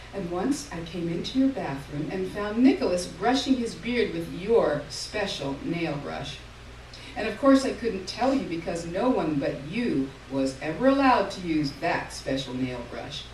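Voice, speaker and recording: A woman reading a literary short story aloud at a live event, expressive, with emphasis on key words and pauses between short phrases. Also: sits low. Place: live stage reading in a room. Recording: roomy, distant mic, faint noise.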